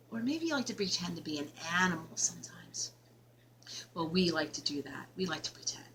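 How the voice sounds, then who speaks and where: A woman's voice: medium pitch, sharp tone, slight background noise, low-quality recording. A woman reading a children's picture book aloud, expressively and in simple phrases aimed at young listeners. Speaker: elementary school teacher reading aloud.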